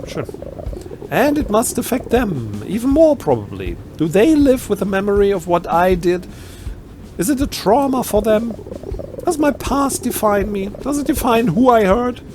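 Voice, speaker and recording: A man's voice: very high-pitched, animated, moderate pace, background noise. A man with a German accent doing a let's play, reading game text aloud and adding wry, ironic asides. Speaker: let's player.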